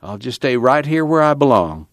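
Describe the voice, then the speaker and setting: Man playing an ornery old farmer grandpa, high reedy voice, folksy country talk, dry studio sound. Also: very animated, moderate pace, dry recording, lightly acted. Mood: stubborn. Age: older. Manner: cranky, defiant, folksy rural speech.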